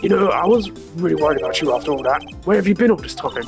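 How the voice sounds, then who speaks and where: A man's voice: a little high, lively intonation, very fast, gravelly. A man voicing a loyal, simple, good-hearted police detective from a video game, hearty, folksy and plain-spoken. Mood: friendly. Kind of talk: voiced reading of video game dialogue. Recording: game music behind the voice. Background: game music.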